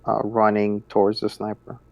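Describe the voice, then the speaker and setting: A man's voice: fairly low pitch, completely flat delivery, normal speed, gravelly, dry recording. A man chatting casually as a player in a tabletop roleplaying game.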